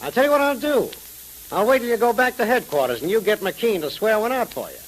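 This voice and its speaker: Smug crime boss, vintage radio serial: high, animated, insinuating threats, faint hiss. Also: male voice, fast, low-quality recording. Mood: mocking. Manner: sneering, sarcastic, insinuating. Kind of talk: 1930s radio drama acting. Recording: hissy 1930s radio recording.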